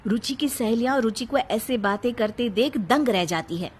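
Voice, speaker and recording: A woman's voice: a little high, expressive, normal speed, husky. A woman narrating a scripted cartoon family story in the role of storyteller.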